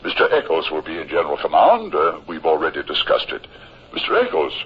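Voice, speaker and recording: An actor playing a courteous, commanding frigate captain who hems and halts, in a 1950s radio drama. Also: male voice, very deep voice, huge pitch swings, exaggerated voice. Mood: courteous, authoritative. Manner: halting, murmuring uhs, formally polite. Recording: muffled, husky old radio recording.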